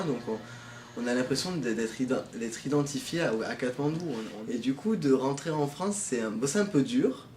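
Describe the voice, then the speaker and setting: A young man recounts his volunteer time in a loose, halting way, restarting his sentences often. The room rings with echo and a faint hum sits under his voice. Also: medium pitch, darkish tone. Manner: hesitant, many restarts and fillers. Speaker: former volunteer. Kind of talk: casual testimonial.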